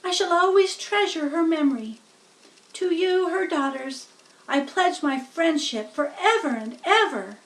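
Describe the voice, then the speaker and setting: A woman voicing a gentle young male pig in a storybook, tenderhearted, with a polite, heartfelt and rather formal manner. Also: extremely high pitch, expressive, a little slow, distant mic, voice pushed up, heavily acted, low-quality recording. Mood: solemn, devoted.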